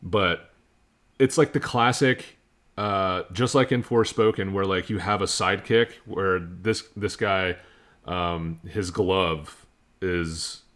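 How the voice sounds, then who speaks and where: A man with a deep voice co-hosts a gaming podcast. He talks in a casual, conversational way, like chatting among friends. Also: slow, a bit bright.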